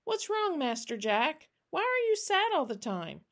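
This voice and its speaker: A woman's voice: high voice, sing-song, unhurried, a bit bright, voice pushed up, heavily acted. A woman voicing an eager, earnest and curious little girl in a storybook reading. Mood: concerned. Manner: bouncy, questioning, earnest.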